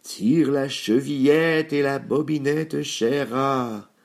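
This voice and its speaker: A man acts out a big bad wolf from a children's storybook. He speaks in a gruff, drawn-out growl, coaxing his prey slowly with sly, greedy menace. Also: mid-range voice, fairly even intonation, pitched above the performer's own voice. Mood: falsely sweet.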